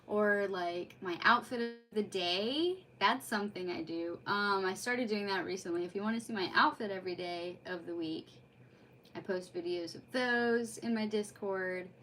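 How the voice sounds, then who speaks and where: A woman's voice: medium pitch, moderate pace, fairly bright tone, a little hiss or hum. A woman chatting casually on a livestream in an effusive, rambling way, as host of a read-aloud. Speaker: streamer hosting a live read-aloud.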